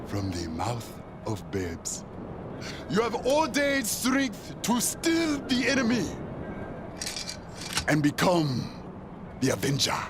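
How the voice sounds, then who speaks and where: An actor playing a zealous, guilt-ridden preacher in a video game, theatrical and sermonizing. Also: male voice, high voice, huge pitch swings, slow, pauses often, noisy background, exaggerated voice. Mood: fervent, solemn. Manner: theatrical, sermonizing, quoting scripture. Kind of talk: video game cutscene acting.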